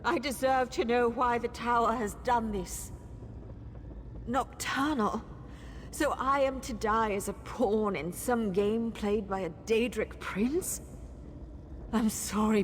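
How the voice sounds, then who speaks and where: An actress playing a frightened, doomed mage in a video game, speaking in a halting, pleading way. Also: female voice, fairly high pitch, a little hiss or hum. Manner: slow, halting, pleading delivery. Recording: long reverb, faint music behind.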